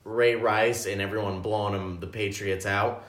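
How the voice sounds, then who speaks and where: A man's voice: lowish voice, steady tone, normal speed. A man in casual drunken podcast chat, rambling and laughing as he tells a story. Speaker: podcast guest. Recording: echoey room.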